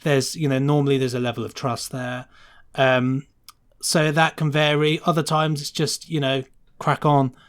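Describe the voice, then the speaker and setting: A British man speaks as a guest on a casual podcast. His pitch sits in the middle of the male range. He explains a technical working process in a conversational way, often acting out short quotes to make a point. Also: level tone, normal speed, clean tone. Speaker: guest sound designer being interviewed.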